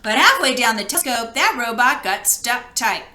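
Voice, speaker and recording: A woman reading a children's storybook aloud in an expressive narrating style. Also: on the high side, normal speed, roomy sound. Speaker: storybook reader.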